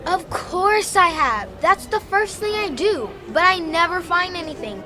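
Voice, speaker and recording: A woman playing an earnest, excitable young girl in an audio drama, talking in a hesitant, conversational way. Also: very high voice, measured pace, slight background noise, heavily acted. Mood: earnest, puzzled.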